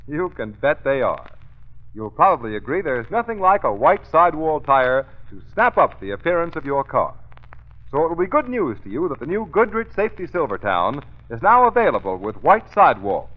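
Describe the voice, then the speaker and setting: A man's voice: on the high side, very animated, moderate pace. A man announcing on vintage radio with an emphatic salesman's advertising delivery. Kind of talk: 1930s radio advertising pitch. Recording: thin, narrow-band vintage radio recording.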